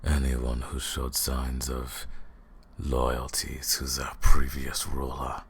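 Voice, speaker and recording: A man playing a menacing, arrogant vampire lord in an ASMR fantasy roleplay, speaking in a slow, deliberate, condescending way with a gravelly, breathy voice. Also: monotone, exaggerated voice. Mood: cold, commanding. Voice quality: very deep, gravelly, husky, breathy. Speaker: audio roleplay performer.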